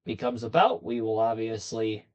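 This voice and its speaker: A young man with a slightly hoarse voice, chatting casually with viewers as he hosts a racing commentary livestream from a desk in a small room. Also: fairly low pitch, moderate pace. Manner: chatty, talks straight to his viewers.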